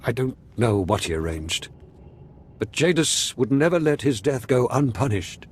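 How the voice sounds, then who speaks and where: A man's voice: mid-range voice, animated, measured pace, slight background noise, lightly acted. An actor playing a frightened, paranoid old man in a video game, theatrical and panicked in manner. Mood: fearful awe. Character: frightened, paranoid old man, former advisor to a dark lord.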